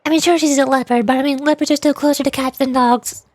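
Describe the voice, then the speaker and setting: A woman's voice: sits high, fairly even intonation, fast talker, no echo. A woman streaming casually, rambling with playful asides as she chats over a game. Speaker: streamer, VTuber. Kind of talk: casual live-stream chat, reading game dialogue.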